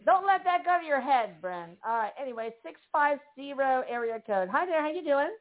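A woman's voice: high voice, lively intonation, brisk. A woman hosting a call-in radio show, upbeat and encouraging with callers. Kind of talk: casual call-in radio talk. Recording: phone-like radio line.

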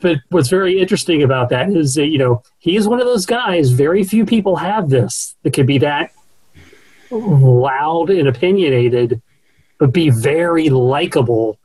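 Husky-voiced host, halting and laughing. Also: male voice, a little high, lively intonation, darkish tone, poor audio. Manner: hesitant, many uh fillers, laughs often. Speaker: show host. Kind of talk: casual podcast interview chat.

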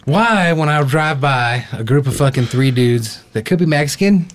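A man's voice: medium pitch, lively intonation, moderate pace, a little hiss or hum. A man hosting a casual talk show, rambling bluntly and swearing heavily as he goes. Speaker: podcast host. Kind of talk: casual profane chat.

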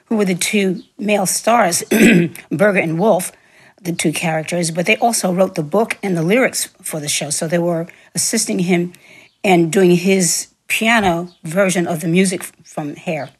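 A woman singer and stage actress, a guest recounting her career in a relaxed interview, laughing often and repeating words for emphasis. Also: lowish voice, moderate pace, slightly rough. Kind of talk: relaxed reminiscing interview talk.

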